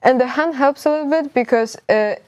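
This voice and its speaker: A woman's voice: high voice, a little fast, a little mellow, clean tone. A young woman from Poland, a university student guest, explaining things eagerly in casual chat. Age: young adult.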